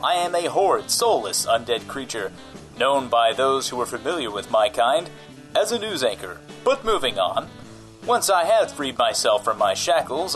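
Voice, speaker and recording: Radio comedy: smug undead newsreader played by a man, grandiose delivery, very varied pitch over a music bed. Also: fairly bright tone, low-quality recording. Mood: haughty, boastful. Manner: pompous, theatrical. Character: vain, gleefully monstrous undead male news anchor. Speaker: radio news anchor giving traffic and weather. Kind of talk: comedic radio-play monologue.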